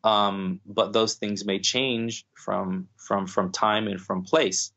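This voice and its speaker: Male podcast guest, measured and thoughtful, a little lo-fi. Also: lowish voice, steady tone, fairly bright tone. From United States. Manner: thoughtful, many restarts and fillers. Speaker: guest scholar. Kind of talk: podcast conversation.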